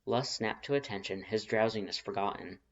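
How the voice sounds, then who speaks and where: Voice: mid-range voice, brisk, vocal fry, lo-fi sound. A narrator reading fan fiction aloud as scripted storytelling.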